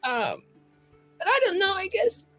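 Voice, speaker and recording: A woman playing in character at a tabletop roleplaying session, talking in an emotive, halting, casual way with some swearing, over background music. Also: extremely high pitch, big pitch swings, fairly bright tone. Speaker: tabletop RPG player. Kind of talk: in-character improvised dialogue at actual-play game. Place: online streamed game session.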